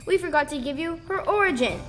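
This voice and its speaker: A woman's voice: high voice, expressive, fast talker, a bit bright, soft background music. A teenage girl acting as a theatrical narrator of a comic-book radio play. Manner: theatrical, announcing.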